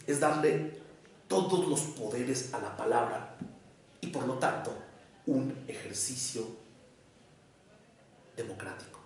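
Gravelly Mexican man lecturing in an echoey room. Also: medium pitch, a little mellow. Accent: Mexican Spanish, Mexico City. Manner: measured, deliberate, with short pauses between phrases. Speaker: psychoanalyst giving a lecture. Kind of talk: academic lecture to an audience. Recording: echoey room, voice picked up from far off.

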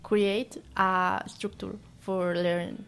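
A young woman from Colombia with a Colombian Spanish accent, a university student answering interview questions in English, her second language, halting and searching for words with many restarts. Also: medium pitch, little pitch movement, a bit bright, smooth. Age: young adult.

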